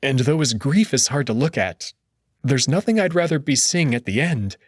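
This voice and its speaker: A man narrating a first-person visual novel story with expressive engagement, then giving his own commentary, over music. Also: medium pitch, normal speed, dry recording, studio quality. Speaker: visual novel reader and host.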